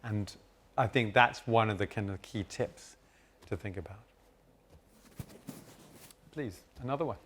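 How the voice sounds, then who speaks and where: A man hosting and moderating a live conference session, giving calm, explanatory advice from the stage. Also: on the low side, unhurried, pauses often, slight background noise. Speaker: host and moderator.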